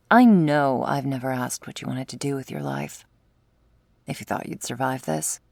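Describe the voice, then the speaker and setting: A woman playing a sardonic, predatory vampire with a secret wistful side, in an intimate close-miked ASMR roleplay, wry and teasing, sometimes slipping into a breathy whisper. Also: a bass voice, a little mellow, dry recording, heavily acted. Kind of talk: intimate ASMR roleplay, scripted monologue to listener. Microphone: close, dry studio mic.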